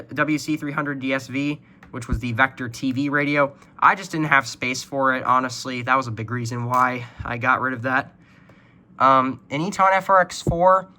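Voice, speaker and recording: A man's voice: medium pitch, pushed and bright. A man casually walks viewers through a hobby collection in an informal, matter-of-fact way. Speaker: hobbyist video presenter.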